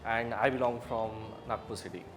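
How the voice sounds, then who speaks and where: A man's voice: mid-range voice, moderate pace, music in the background. A man, introducing himself politely. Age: 20s. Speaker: contestant.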